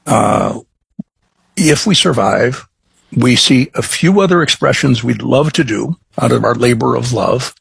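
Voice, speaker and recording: A middle-aged man from Chicago with a Chicago accent, chatting as a guest in an interview, halting with many restarts and fillers. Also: medium pitch, lively intonation, creaky, a bit bright, breathy edge, dry recording, low-quality recording. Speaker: craft distiller guest. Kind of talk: casual interview chat.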